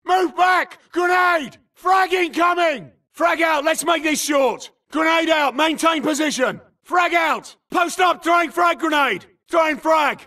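A man's voice: very high voice, unhurried, hardly any pauses, sharp tone, shouting, far from the mic, exaggerated voice. A man playing an urgent, tough infantry soldier in a video game, barking clipped combat callouts. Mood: alarmed. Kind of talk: shouted military combat barks.

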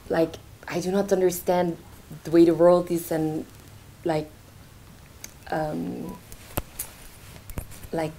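Young woman artist, slow halting talk with fillers, lowish voice, presenting in a lecture hall. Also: creaky, dark tone, slight background noise. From Belgium. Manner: hesitant, many fillers, gestures while talking. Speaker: artist presenting her work. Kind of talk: informal talk at a launch event. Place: lecture theatre, indoors.

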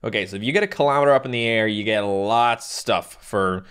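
A young man from Newfoundland hosting a casual let's-play, excitable, yelping and joking at the game. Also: on the low side, a little fast, smooth. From Newfoundland, Canada. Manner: excitable, yelps and jokes at the game. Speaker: gaming channel host. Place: home recording room.

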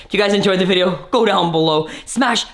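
A man in his twenties hosting a staged challenge vlog, chatting excitedly in a casual, exclamatory way. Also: sits high, a little fast, creaky, reverberant, crowd noise. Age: 20s. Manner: animated, exclamatory. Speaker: video host. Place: dark backyard and inside a house. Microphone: handheld camera. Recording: noisy handheld camera audio.

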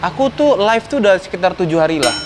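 A man's voice: sits high, animated, quick pace, noisy background. A young man filming a comedic prank vlog on an outdoor café terrace, joking playfully and rambling. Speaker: vlogger.

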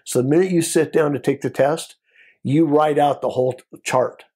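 A man's voice: on the high side, fairly even intonation, moderate pace, dark tone, breathy edge. An American man in his sixties, telling stories casually as an interview guest in short, conversational phrases. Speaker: guest, former Border Patrol agent.

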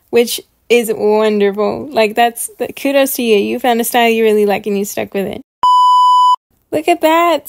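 Female reaction host, high voice, bubbly and chatty, full of enthusiasm, dry sound. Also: no room sound. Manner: bubbly, gushing, excited. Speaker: YouTube host reviewing channels. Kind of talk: casual reaction commentary.

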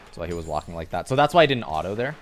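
Low-voiced man coaching over gameplay. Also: racing, soft background music. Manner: instructive, relaxed, talks over his own play. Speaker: streamer explaining gameplay. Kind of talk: casual gameplay commentary.

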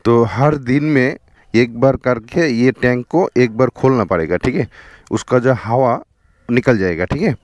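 A man's voice: medium pitch, measured pace, a little mellow, no room sound. A young man with a Bengali accent, whose first language is Bengali, chatting casually while riding a motorbike along a riverbank, with an action camera on him. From West Bengal, India. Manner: chatty, repeats "theek hai" and "dekho". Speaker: motovlogger. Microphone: helmet or chest action camera.

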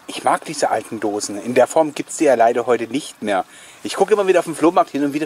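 A man's voice: medium pitch, darkish tone, slight background noise. A middle-aged man giving casual, nostalgic commentary in a chatty, jokey, reminiscing way, as host of a collectors' video series.

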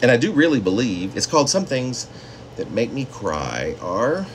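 A man's voice: medium pitch, big pitch swings, moderate pace, slight background noise. A man in his fifties talking to camera in a conversational, rambling way, like a poet sharing his own work at home. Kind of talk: casual talk and poetry reading to camera. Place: small indoor home room.

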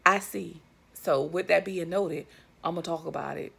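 A woman in her thirties talking straight to her phone camera from a living-room couch, chatty and emphatic with lots of asides to her viewers. Her voice sits low with a gravelly, fry-edged texture. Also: normal speed, a bit bright. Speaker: vlogger. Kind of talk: casual commentary to camera. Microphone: phone or webcam at arm's length.

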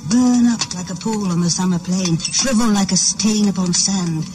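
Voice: medium pitch, moderate pace, music in the background, close to an everyday voice. A performer in a 1980s radio play chanting riddles, curses and pleas to the spirits. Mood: cursing. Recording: noisy old radio play recording.

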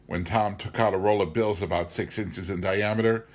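A middle-aged man narrating a memoir as a scripted reading, with measured, deliberate phrasing. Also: fairly low pitch, steady tone. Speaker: amateur audiobook reader.

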